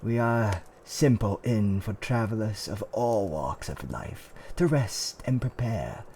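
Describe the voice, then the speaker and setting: Man playing a slow, gentle fantasy innkeeper. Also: lowish voice, animated, a little hiss or hum. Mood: welcoming, musing. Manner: soothing, theatrical, old-fashioned polite phrasing with hums and pauses. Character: gentle, courteous male innkeeper of a fantasy tavern. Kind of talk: roleplay ASMR character acting.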